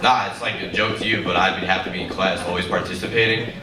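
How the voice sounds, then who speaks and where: A young man doing a stand-up comedy set, with a low voice and a deadpan, rambling, self-mocking delivery. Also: fast talker. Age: young adult. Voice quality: low, deep voice. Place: comedy club stage with a live audience. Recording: roomy, distant live club recording with loud crowd laughter.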